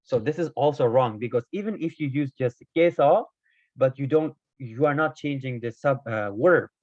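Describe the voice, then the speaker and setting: A man whose first language is not Korean, explaining Korean grammar in a casual video lesson, hesitant with many fillers and self-corrections, his voice a little hoarse. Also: mid-range voice, moderate pace, no echo. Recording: dull, dry lo-fi recording.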